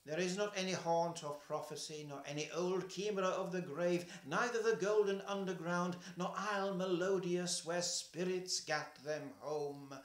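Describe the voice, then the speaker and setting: An older man reading a poem aloud at home, slow and measured, his voice falling soft at the ends of lines. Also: high-pitched, echoey room. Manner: slow, measured, trailing softly at line ends. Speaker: poetry reader. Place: home kitchen, indoors.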